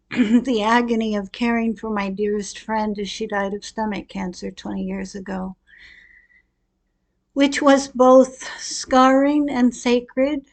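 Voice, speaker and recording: A middle-aged woman tells a personal story in a prepared, reflective way. Her voice sits a little high, and she takes her time, shaping phrases expressively with dramatic pauses. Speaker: speaker telling her own life story.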